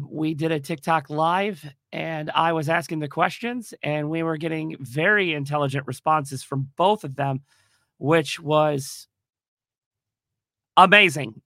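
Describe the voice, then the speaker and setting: A man hosting a livestream chat, hoarse from a cold, rambling with lots of fillers and restarts. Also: fairly high pitch, a little slow, pushed and bright, dry recording, clean studio sound. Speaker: podcast host. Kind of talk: casual livestream talk.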